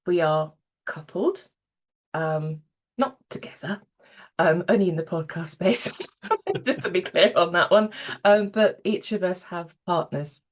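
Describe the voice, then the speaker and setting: A woman co-hosting a podcast, her voice a bit croaky and pitched slightly low. She talks at an easy pace and rambles cheerfully, with filler words along the way. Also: unhurried. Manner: friendly and rambling, with fillers and laughter. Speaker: podcast co-host.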